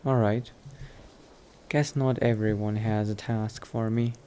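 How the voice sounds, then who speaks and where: Slow-talking man roleplaying a video game. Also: fairly low pitch, steady tone, warm tone, slight background noise. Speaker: gamer roleplaying as his character. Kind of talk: casual improvised roleplay commentary over a video game.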